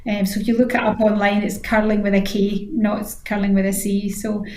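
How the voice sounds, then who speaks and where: A woman's voice: medium pitch, level tone, a little fast, warm tone. An echoey recording of a woman with a mid-range voice, talking as a guest on a radio chat show. She explains her work informally and often affirms what she is asked. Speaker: head of physiotherapy, interview guest. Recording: echoey room sound.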